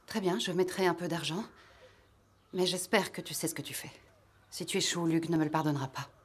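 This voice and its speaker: A woman playing a proud, guarded young Gaulish noblewoman in an animated historical drama, speaking firmly and with reserve. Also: on the low side, fairly quick, husky. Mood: wary, resolute. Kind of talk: animated docudrama acting in French.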